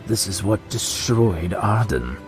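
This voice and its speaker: Deep, earnest male confidant played by an actor. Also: animated, takes it slowly, over music. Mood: pleading. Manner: earnest, formal, pleading. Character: devoted, worried male confidant pleading with his princess. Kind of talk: audio drama acting.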